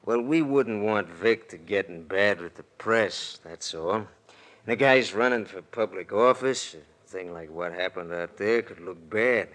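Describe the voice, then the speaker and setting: An actor playing a heavyset, tough private detective who talks in blunt slang in an old radio drama. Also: male voice, fairly low pitch, unhurried, fairly bright tone. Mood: bland menace. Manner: slangy, bluntly menacing, faux-friendly. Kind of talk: 1940s-50s radio detective drama acting.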